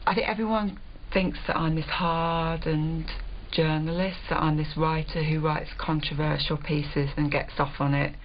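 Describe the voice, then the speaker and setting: A woman's voice: low-pitched, fairly even intonation, normal speed, few pauses. A woman giving a candid, hesitant and reflective confessional interview on a reality show. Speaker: reality show contestant, journalist. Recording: muffled, faint noise.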